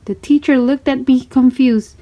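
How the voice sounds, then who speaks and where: A woman narrating a children's storybook in an expressive read-aloud style. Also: sits high, moderate pace, lo-fi sound.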